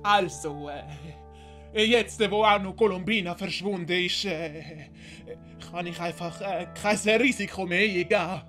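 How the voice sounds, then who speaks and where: A woman's voice: on the low side, a bit bright, soft background music. A woman voicing a small, embarrassed male servant who stammers and hesitates in an audio drama. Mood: nervous, hesitant. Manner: stammering, halting.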